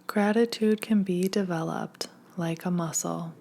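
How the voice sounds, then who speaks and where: Female meditation guide: lowish pitch, gravelly fry at phrase ends, gentle and hushed scripted read with unhurried gaps. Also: steady tone. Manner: gentle, soothing, hushed delivery with long pauses.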